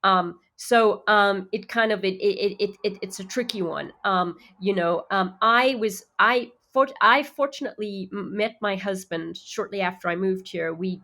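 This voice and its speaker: Guest actress from Zimbabwe, now sounds American with faint Zimbabwean traces; rambling, filler-heavy, mid-range voice. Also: female voice, medium pitch, normal speed, hardly any pauses, sharp tone. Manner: chatty, many fillers and restarts. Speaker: actor guest. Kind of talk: casual interview chat.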